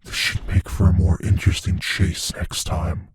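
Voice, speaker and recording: An actor voicing a playful, possessive, menacing shape-shifting monster in an intimate audio roleplay, musing slowly in a husky, breathy near-whisper. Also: male voice, low-pitched, flat delivery, no room sound. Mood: predatory, playful. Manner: slow, musing, savoring pauses.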